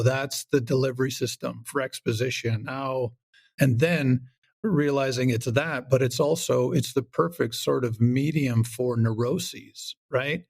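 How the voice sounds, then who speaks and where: A man from Canada talking casually as an interview guest, animated and rambling, acting out his own thoughts as he goes. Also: medium pitch, gravelly. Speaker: audio comedy creator, podcast guest.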